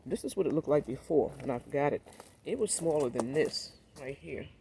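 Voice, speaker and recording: A man with a raspy, hoarse voice from a cold, giving a laid-back, rambling walkthrough of his backyard garden and talking straight to his viewers. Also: on the high side, lively intonation, normal speed, mellow, a little hiss or hum. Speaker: gardening vlogger. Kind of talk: casual walkthrough vlog.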